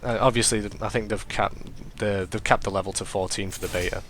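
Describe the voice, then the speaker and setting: A man's voice: lowish voice, a little fast, slight background noise. A young man, congested and sniffly, commentating casually over his own gameplay, rambling and correcting himself as he goes. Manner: rambling, self-correcting, excitable reactions. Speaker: gaming commentator.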